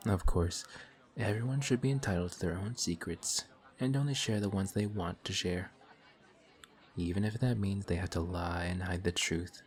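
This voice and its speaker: A man's voice: low-pitched, moderate pace, natural voice. A man playing a suave, polite villain. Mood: courteous. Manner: courteous, formal, sly and quietly taunting. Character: suave, polite male villain in civilian clothes. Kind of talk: one-sided audio roleplay. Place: coffee shop scene with faint café chatter.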